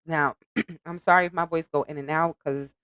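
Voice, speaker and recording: A woman's voice: low-pitched, normal speed, clean tone, no room sound, clean studio sound. A woman hosting a podcast, chatting casually in slang with a slightly nasal voice from allergies. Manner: chatty, animated, informal slang. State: congested from allergies. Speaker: podcast host reacting to a reality show. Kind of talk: casual reaction commentary.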